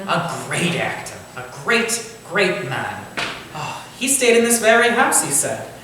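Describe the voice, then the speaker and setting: An actor playing a genial, courtly Victorian gentleman writer with a formal, eloquent, theatrical way of talking, in a staged reading. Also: fairly high pitch, big pitch swings, slow, exaggerated voice. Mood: genial, proud. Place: indoor staged reading, distant microphone. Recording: very echoey room from far off, faint noise.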